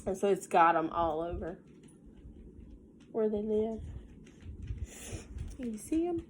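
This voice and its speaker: A woman's voice: medium pitch, big pitch swings, takes it slowly, slight background noise. A woman with a Southern US accent, a teacher reading a picture book aloud to children in a sing-song way with folksy, casual asides.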